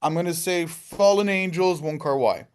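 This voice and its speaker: A man's voice: a little high, normal speed, clear, resonant tone. A man being interviewed, chatting casually and emphatically in fluent, slangy English. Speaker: film director being interviewed.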